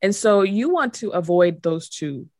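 A woman's voice: medium pitch, animated, darkish tone, no echo, clean studio sound. A woman with a slight Dominican accent, explaining ideas in casual podcast conversation and checking in with "right?". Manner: quick, explanatory, peppered with "right?".

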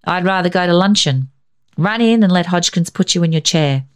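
A woman voicing a gruff, stern old grandfather in a storybook reading. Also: lowish voice, lively intonation, moderate pace, lightly acted. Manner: gruff, grim.

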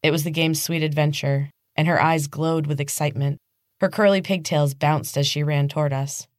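A woman's voice: low voice, steady tone, normal speed, dry recording, studio quality. A woman reading fantasy fiction aloud as a dramatic, scripted audiobook narration. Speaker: podcast host and author reading own novel.

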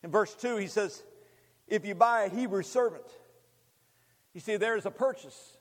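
A man in his fifties preaching a sermon, speaking emphatically with deliberate repetition and addressing the congregation directly. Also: sits high. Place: large hall, preaching to a congregation. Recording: long hall reverb.

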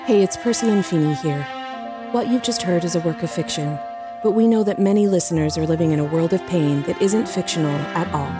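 A woman reading a scripted podcast outro in an earnest, measured and supportive way. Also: fairly low pitch, music in the background. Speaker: podcast cast member and announcer.

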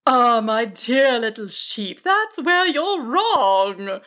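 A woman voicing a giant, kind-hearted dragon who speaks loudly and reassuringly in a storybook tale. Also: on the high side, big pitch swings, exaggerated voice. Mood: fond, reassuring. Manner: loud, kindly, deliberate.

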